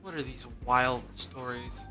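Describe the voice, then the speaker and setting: Female streamer, very low voice, offhand casual game commentary, music in background. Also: steady tone, a little slow, pushed and bright. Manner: offhand, rambling.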